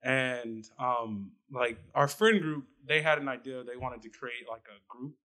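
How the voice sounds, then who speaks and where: A young man, a rapper guest on a radio interview, speaking in a soft, halting way with restarts. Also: mid-range voice, poor audio. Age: young adult.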